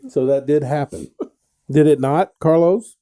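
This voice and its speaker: A man's voice: fairly high pitch, a little slow, warm tone. A middle-aged man hosting a casual radio interview, rambling and joking with plenty of restarts. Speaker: radio show host.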